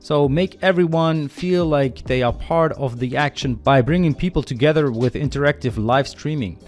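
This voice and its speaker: A man teaching programming in a screencast tutorial, calmly explaining the code step by step in a relaxed, conversational way. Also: a little high, normal speed, faint music behind. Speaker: programming instructor.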